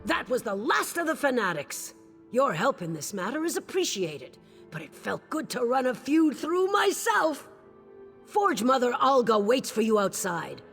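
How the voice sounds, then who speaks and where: A woman's voice: a little high, big pitch swings, a little slow. A woman playing a fierce, exultant orc warrior in a video game. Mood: triumphant, hearty. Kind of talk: video game character dialogue. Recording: long hall reverb, game music.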